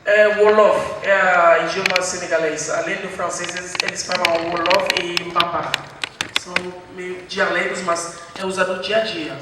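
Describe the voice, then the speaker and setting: A man hosting a post-screening discussion, explaining things at an unhurried pace and pausing to gather his thoughts. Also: high voice, level tone. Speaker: panel host. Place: screening room, large hall. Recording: echoey hall, distant, faint noise.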